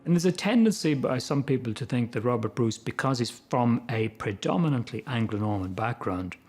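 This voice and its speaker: A man, a historian, explaining things in an animated way in a documentary interview and thinking aloud as he goes. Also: mid-range voice, brisk, slightly rough.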